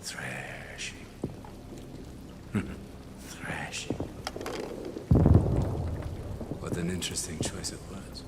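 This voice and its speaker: An actor playing a sadistic, cultured Nazi officer in a video game, with a slow, mockingly polite, theatrical delivery. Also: male voice, extremely low pitch. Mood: cold amusement. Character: sadistic Nazi officer, cultured, coldly amused, menacing interrogator. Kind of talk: cinematic video game acting. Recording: rain and heavy ambient noise.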